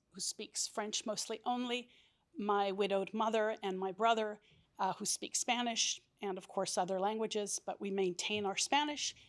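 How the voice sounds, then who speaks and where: A middle-aged woman telling a lively family anecdote in an interview, acting out bits of dialogue, recorded on a stand mic in a curtained studio. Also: mid-range voice. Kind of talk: personal storytelling. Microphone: stand mic close by.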